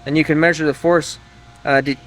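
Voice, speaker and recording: A man's voice: on the high side, fairly even intonation, a little fast. A man hosting an engine-building video, chatting casually to viewers and thinking aloud, often trailing off mid-sentence. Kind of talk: casual shop talk to viewers. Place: engine workshop.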